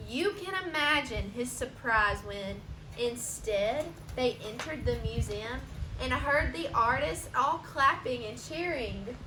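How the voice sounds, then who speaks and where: A woman reading a children's storybook aloud expressively, pausing for asides and questions to young listeners. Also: on the high side, expressive. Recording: roomy echo, noticeable background hiss.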